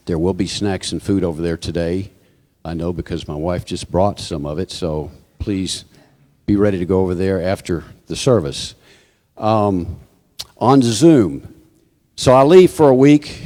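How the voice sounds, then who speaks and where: Man, church announcer, low voice, informal with joking asides, long hall reverb. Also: mellow. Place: church sanctuary, live service. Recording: hall reverb from sound system.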